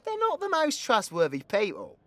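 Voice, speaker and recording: A man's voice: extremely high pitch, huge pitch swings, moderate pace, no room sound, exaggerated voice. A British man playing a cocky, foul-mouthed, teasing supervillain in an audio roleplay, with crude slangy banter. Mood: sardonic, explaining. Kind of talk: ASMR audio roleplay acting.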